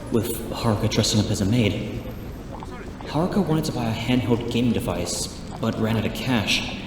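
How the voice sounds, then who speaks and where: A man's voice: lowish voice, background noise, close to an everyday voice. Echo-drenched earnest young man, played by a man. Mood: reminiscing. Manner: musing aloud to himself. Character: earnest, upbeat young man training in martial arts. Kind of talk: amateur audio drama acting. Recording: very echoey reverb, music underneath.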